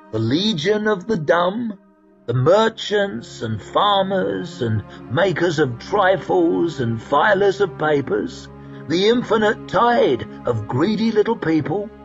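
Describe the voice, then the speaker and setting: Man putting on a high, haughty schemer, drawling and theatrical, lively swoops, muffled sound, faint music. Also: takes it slowly, exaggerated voice. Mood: scornful. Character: scheming, grandiose male adventurer, scornful of ordinary people. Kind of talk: audiobook character reading.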